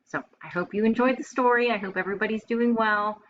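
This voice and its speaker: A woman's voice: mid-range voice, fast talker. A woman teacher reading a storybook aloud to first graders, expressively and with simple explanations for young listeners. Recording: dull lo-fi home recording with faint noise.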